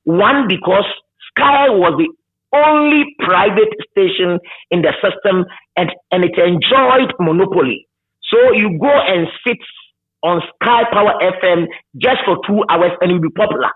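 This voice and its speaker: Male radio presenter as guest, Ghanaian accent, high voice, emphatic repetitions, tinny telephone-quality audio. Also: expressive, unhurried, slightly rough. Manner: emphatic, repeats words, hesitant searching starts. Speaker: radio presenter being interviewed. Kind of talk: reminiscing in conversation. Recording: tinny phone-like line.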